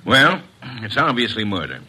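An impatient homicide cop in a classic radio whodunit. The voice is fairly low, bright and hard-edged, jumping around in pitch. He is blunt and sardonic. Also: big pitch swings, normal speed, slightly rough, full character voice, poor audio. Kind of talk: 1950s radio detective drama acting.

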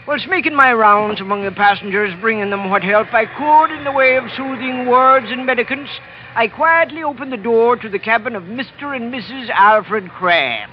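An actor playing a sly, talkative ship's passenger and amateur doctor, telling tales theatrically for radio drama. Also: male voice, very high-pitched, moderate pace. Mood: conspiratorial. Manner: lilting, theatrical storytelling with archaic turns of phrase. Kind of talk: old-time radio drama acting. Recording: muffled vintage radio recording, faint noise.

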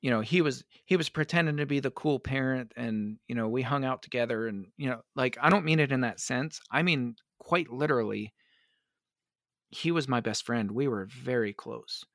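A man, a pastor, answering interview questions in an earnest, reflective way, his voice worn hoarse by allergies. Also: mid-range voice, moderate pace, fairly bright tone, no echo, clean studio sound. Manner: earnest, reflective, many restarts. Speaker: pastor and advocate.

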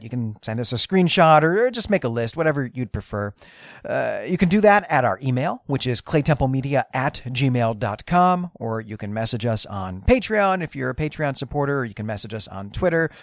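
A man's voice: mid-range voice, no room sound, clean studio sound. A man talking casually as a podcast co-host, chatty and lightly self-deprecating.

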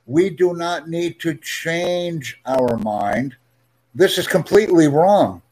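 A man speaks at a deliberately slow pace, with a slightly raised pitch. He is a tutor who talks in a friendly, emphatic way and often repeats himself for clarity. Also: expressive, a little mellow, poor audio. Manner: speaks slowly and clearly, friendly, emphatic repetition. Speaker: English tutor. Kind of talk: slow, clear teaching talk.

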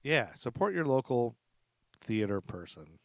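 A man's voice: mid-range voice, sing-song, measured pace, creaky, dry recording. Casual, chummy middle-aged man hosting, close dry sound. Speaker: podcast host. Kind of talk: casual chat with guests.

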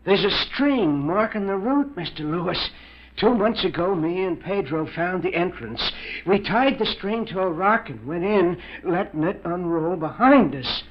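A haunted old desert prospector, talking high and folksy with rough country grammar. He is superstitious and odd, in the manner of 1940s radio mystery, on a dull vintage recording. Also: expressive, unhurried, a little hiss or hum. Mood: haunted, recounting. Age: older. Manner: rustic, folksy, ungrammatical speech.

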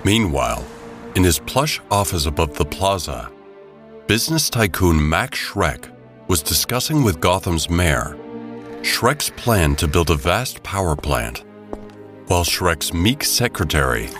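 Man, very low voice, dramatic deliberate narrator, scripted audio drama, prominent music underneath. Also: very animated. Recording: loud music bed behind the voice. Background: loud music.

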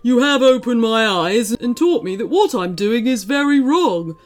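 A woman's voice: fairly high pitch, expressive, a little slow. A woman voicing a big, lonely male dragon in a children's storybook, menacing but open to persuasion. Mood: chastened.

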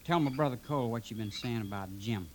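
An American actor playing an anxious, hot-headed young outlaw brother in a radio western, urgent in delivery. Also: male voice, medium pitch, fast, slight background noise, close to an everyday voice. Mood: accusing. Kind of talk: old-time radio western acting.

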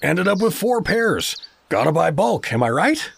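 A goofy friendly american dad, middle aged, folksy rambler, crackly creaky voice, swoops all over, comedy audio drama. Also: male voice, sits high, very animated, measured pace, heavily acted. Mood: chummy, sheepish.

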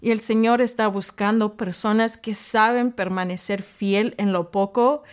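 A woman podcast host whose native language is English gives a devotional talk of encouragement in an earnest, halting way, repeating key phrases. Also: mid-range voice, steady tone.

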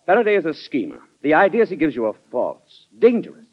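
An actor playing a proud, self-righteous cabinet minister in an old-time radio drama, insistent and accusatory in a clipped delivery. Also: male voice, fairly high pitch, huge pitch swings, a little fast. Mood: combative. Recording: muffled vintage radio drama recording.

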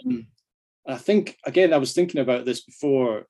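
A man's voice: mid-range voice, sing-song, normal speed, creaky, warm tone. A middle-aged Scottish man chatting casually about football as a podcast guest, self-deprecating and happy to swear. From Scotland.

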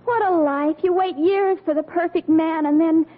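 A woman's voice: very high-pitched, steady tone, normal speed. A woman playing a lovesick, earnest teenage daughter in a 1940s radio comedy, wistful and melodramatic. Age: young adult. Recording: tinny vintage radio, studio audience behind.

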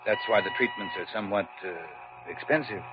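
An actor playing a smooth-talking swindling quack doctor in a 1940s radio drama, gracious and unctuous. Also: male voice, fairly low pitch, wildly swooping pitch, moderate pace. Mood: oily. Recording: dull lo-fi old radio broadcast.

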